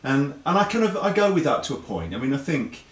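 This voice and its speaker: A man's voice: medium pitch, very animated, brisk. A British man talking casually as a chat show guest, explaining things in a chatty way and often restarting his phrases. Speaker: interview guest, pantomime writer and performer. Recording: echoey room, distant mic, faint background noise.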